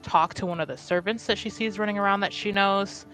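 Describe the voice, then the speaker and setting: A woman's voice: mid-range voice, brisk, a bit bright, soft background music, low-quality recording. A young woman player thinking aloud as she describes her character's actions in a tabletop game, hedging often.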